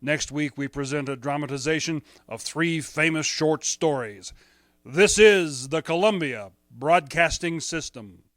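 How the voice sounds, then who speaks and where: Casting: man playing a formal old-time network announcer, higher male pitch, dry sound. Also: measured pace, a bit bright, no room sound. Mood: formal, proclaiming. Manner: formal, declamatory. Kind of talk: 1930s radio announcing.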